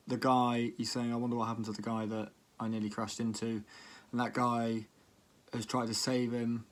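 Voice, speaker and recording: A man's voice: mid-range voice, little pitch movement, normal speed. A man giving casual spoken commentary as a music reaction vlogger, with a hesitant, rambling delivery.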